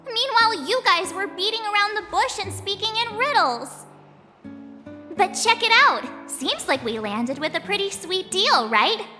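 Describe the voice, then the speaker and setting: A woman's voice: very high voice, expressive, unhurried, pushed and bright, in a large hall, faint music behind, full character voice. An actress playing a bubbly, cheeky teenage adventurer girl in a video game, with a breezy, slangy, dramatic delivery. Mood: playful, excited. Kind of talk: English video game voice acting.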